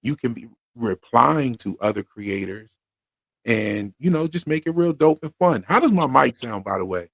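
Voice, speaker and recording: A man with a Philadelphia accent hosts a relaxed livestream, talking in easy slang with lots of fillers. His mid-range voice carries a gravelly edge, and the sound is close and dry. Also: medium pitch, normal speed, no echo. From Pennsylvania. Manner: laid-back slangy talk with frequent fillers. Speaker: podcast host. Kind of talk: casual livestream chat.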